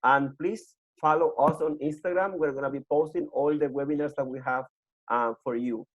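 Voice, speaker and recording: A man hosting a webinar on a video call, giving informal closing announcements in a halting way and repeating himself. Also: a little high, fairly even intonation. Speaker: webinar host. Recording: muffled video call audio.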